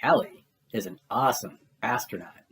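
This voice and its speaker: A woman reading children's storybooks aloud as an animated narrator, with exaggerated expression and music behind her. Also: a bass voice, normal speed, breathy edge. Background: music and sound effects.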